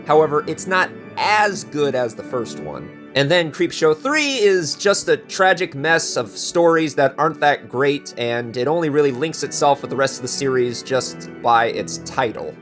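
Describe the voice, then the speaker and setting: A man introduces a video casually, chatty and opinionated. His voice is a little high and moves animatedly up and down, with music clearly heard behind it. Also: fairly bright tone. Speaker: video creator and presenter.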